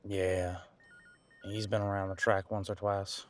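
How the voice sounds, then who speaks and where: A man playing a centuries-old, easygoing detective in an audio roleplay, talking casually and folksily as he muses aloud with pauses. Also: deep voice, little pitch movement. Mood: musing. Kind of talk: detective roleplay audio, one side of a conversation.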